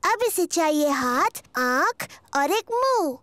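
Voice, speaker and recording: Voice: sits high, big pitch swings, slow pace, exaggerated voice. A performer voicing a cheerful, bossy little girl pig in a children's cartoon, with eager, simple childlike phrasing. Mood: eager, explaining. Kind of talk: children's cartoon dialogue, Hindi dub. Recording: music behind the voice.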